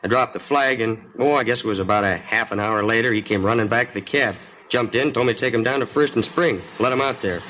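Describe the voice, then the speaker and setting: Male actor as working-class cabbie, American, medium pitch, hurried, chatty, inquisitive, colloquial, old radio drama. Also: bright, forceful tone, close to an everyday voice, low-quality recording. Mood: chatty recollection. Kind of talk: 1950s radio drama character acting. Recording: old radio recording, faint background noise.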